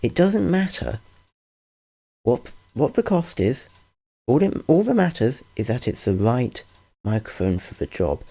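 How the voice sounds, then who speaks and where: A man's voice: medium pitch, sing-song, measured pace, dark tone. A man giving a casual, rambling tutorial over a screen recording, often correcting himself with a wry tone, recorded on a studio condenser mic. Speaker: channel host explaining audio gear. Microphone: large-diaphragm studio condenser.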